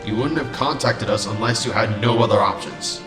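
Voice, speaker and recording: A man's voice: fairly low pitch, normal speed, natural voice. A man voicing a restless, adventurous robot captain, earnest and casual, in an amateur comic dub. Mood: determined. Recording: roomy, with music bed.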